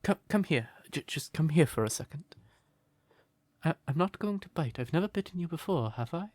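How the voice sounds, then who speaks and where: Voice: mid-range voice, animated, moderate pace, a little mellow, no room sound, lightly acted. A performer voicing a shy, smitten crow turned human in an intimate comfort roleplay, hesitant and stammering, naively earnest. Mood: shy, affectionate.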